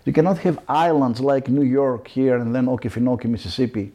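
A middle-aged man, a law professor, answering interview questions in an animated, talkative way with frequent asides. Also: medium pitch, brisk.

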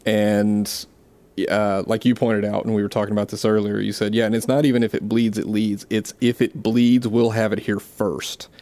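A man's voice: lowish voice, flat delivery, normal speed, dark tone. A man from South Mississippi with a Southern accent, co-hosting a casual podcast chat in a rambling, self-deprecating way with frequent restarts. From South Mississippi, USA. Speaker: podcast co-host. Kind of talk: casual conversation.

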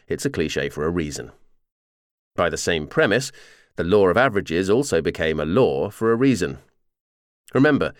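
A man narrates an audiobook memoir in a low-pitched voice with lively, varied intonation. His reading is measured and clearly articulated, delivered as polished scripted narration in a dry, close recording. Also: dry recording.

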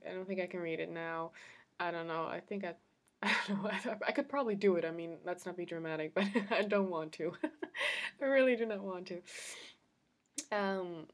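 A young woman talking casually on her own podcast, rambling with fillers and restarts, slightly congested from a cold. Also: fairly low pitch. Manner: rambling, chatty, laughs often, many fillers and restarts. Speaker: podcast host. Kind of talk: casual solo podcast chat. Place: her room at home.

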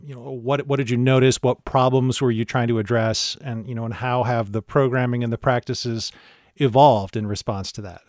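A man's voice: mid-range voice, no echo, studio quality. A man interviewing a guest, asking thoughtful, loosely phrased questions.

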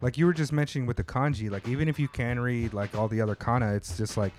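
A man's voice: mid-range voice, steady tone, fast talker, a little mellow, a little hiss or hum. Slangy casual male host. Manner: loose, slangy, swears freely. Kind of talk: casual chat.

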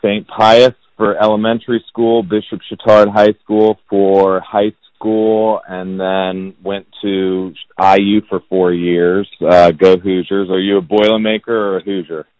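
A man in his thirties from Indianapolis, a real estate agent talking about himself as a podcast guest in a monotone, self-deprecating way. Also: low voice, a little slow, hardly any pauses, no echo. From Indianapolis, United States. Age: 30s. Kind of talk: casual podcast interview. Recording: dull, lo-fi sound.

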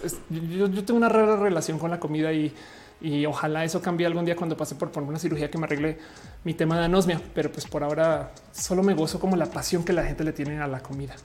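A woman with a Mexican accent and a fairly low, very mellow voice. She hosts a livestream, reading viewer comments aloud and replying in loose, colloquial chat full of slang. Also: steady tone, brisk. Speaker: livestream host answering viewer questions.

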